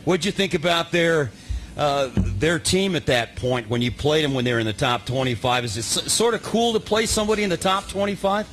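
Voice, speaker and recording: Man, sports interviewer, fairly high pitch, chatty and welcoming, faintly noisy recording. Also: a little fast, fairly bright tone. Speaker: interviewer and host. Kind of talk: post-game sports media interview.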